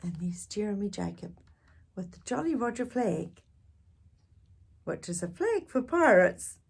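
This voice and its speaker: Slow, animated woman reading a children's storybook. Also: mid-range voice, creaky, slightly rough. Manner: expressive, dramatic, engaging listeners with questions. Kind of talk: expressive picture-book narration with gentle asides to young listeners.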